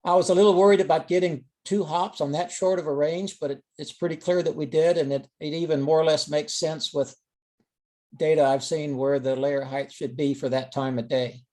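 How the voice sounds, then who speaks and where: A man speaks in a fairly high register as he presents experimental data on a teleconference. His style is informal and explanatory, working through the material one step at a time. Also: steady tone, normal speed, darkish tone. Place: online teleconference call.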